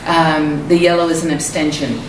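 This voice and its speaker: A woman's voice: low voice, flat delivery, moderate pace. A woman giving a public lecture in a conversational way, with frequent ums. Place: lecture hall. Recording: echoey hall, far from mic, noisy.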